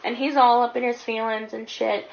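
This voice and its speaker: A woman's voice: fairly high pitch, little pitch movement, moderate pace, vocal fry. A woman chatting casually as a co-reviewer of a movie, very informal, full of slang and swearing. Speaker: guest co-reviewer. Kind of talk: casual movie recap chat. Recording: dull lo-fi sound, faint background noise.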